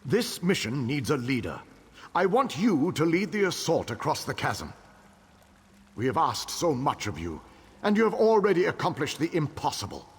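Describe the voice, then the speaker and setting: In a long, ringing hall, a noble fantasy game king with a husky, higher-set voice. He speaks slowly and formally, solemn and gracious, with stately swells as he rallies allies. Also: sing-song, heavily acted. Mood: gracious, resolute. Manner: stately, measured, formal oratory. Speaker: war leader king. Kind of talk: dramatic fantasy game dialogue.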